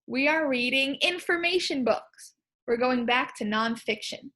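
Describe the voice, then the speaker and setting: A woman teacher reading a picture book aloud to children, expressively, pausing to ask questions and explain things simply. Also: high-pitched, pushed and bright. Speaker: primary school teacher.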